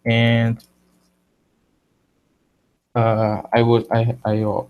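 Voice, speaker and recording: A Brazilian man with a Portuguese accent hosting a live video chat in English, halting and searching for words. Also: medium pitch, monotone, smooth, muffled recording. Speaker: live show host.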